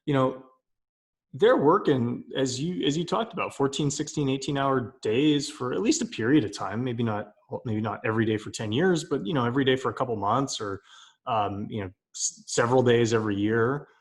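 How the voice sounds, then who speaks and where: A man's voice: medium pitch, normal speed. A man co-hosting a podcast, thinking aloud in casual chat with hedges and repeated words. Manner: thoughtful, hedging, repeats words as he builds a point. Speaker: podcast co-host.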